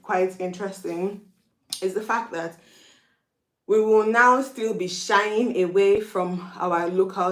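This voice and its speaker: Roomy-sounding Nigerian woman musing aloud. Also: fairly low pitch. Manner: reflective, asks rhetorical questions. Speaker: vlogger. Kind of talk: casual opinion monologue.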